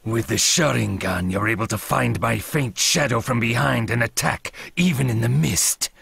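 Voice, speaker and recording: A man voicing a menacing, battle-hungry shark-man ninja in an English anime dub, gravelly and husky, with a taunting drawl. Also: mid-range voice, moderate pace, a bit bright, dry recording, exaggerated voice.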